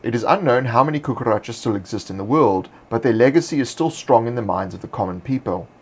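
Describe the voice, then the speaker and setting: A man hosting, reading fantasy monster lore in a measured, scripted narration. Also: mid-range voice, animated, husky. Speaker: host.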